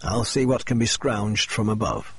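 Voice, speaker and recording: A composed, precise detective speaks in measured, slightly lowered tones, as a male narrator puts him on. The voice is husky and the recording sits dry and close with no room sound. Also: expressive, a bit bright, no room sound, full character voice. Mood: calm.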